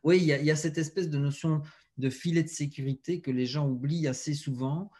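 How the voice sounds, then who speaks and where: A man's voice: fairly high pitch, fairly quick. Man telling stories with animation. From Belgium. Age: 40s. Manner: storytelling, animated, repeats words while thinking. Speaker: guest, author and speaker. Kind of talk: casual podcast interview.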